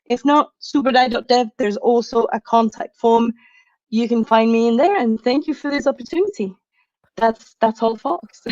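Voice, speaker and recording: A woman's voice: on the high side, normal speed, darkish tone, dry recording, muffled recording. A woman from Venezuela giving a tech talk over a video call, chatty and often laughing. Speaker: conference speaker.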